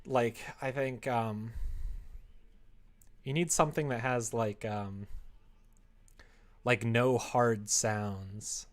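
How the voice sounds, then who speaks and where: Guy streamer just chatting, rambles and thinks out loud, amused at himself, mid pitched voice. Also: fairly even intonation, very slow, pauses often. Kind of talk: casual chat on a live creative-writing stream.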